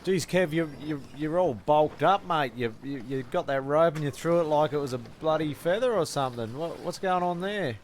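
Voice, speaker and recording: A man narrating an informal improvised comedy as its host, halting and repeating words as he goes. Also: fairly high pitch, slight background noise.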